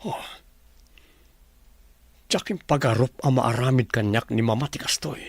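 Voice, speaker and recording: A man's voice: medium pitch, unhurried, dry recording. An actor playing an earnest middle-aged father and doctor in a radio drama, with an emotional delivery. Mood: shocked.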